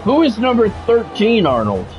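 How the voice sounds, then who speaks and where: A man streaming a football video game, giving excitable play-by-play and bluntly talking at the players in short, urgent, repeated phrases. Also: sits high, moderate pace, lo-fi sound, faint music behind. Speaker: gaming streamer doing play-by-play.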